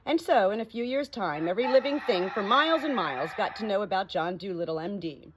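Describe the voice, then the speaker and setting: Woman, audiobook reader, animated storybook narration, mid pitch, clearly noisy home recording. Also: a little fast.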